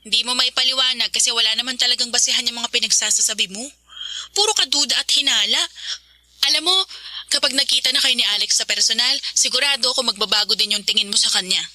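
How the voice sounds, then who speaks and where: A woman's voice: a little high, fast talker, bright, forceful tone, dry recording. A woman playing a bubbly, teasing young best friend in a Filipino radio drama, chatty and playful. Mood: defensive, chiding.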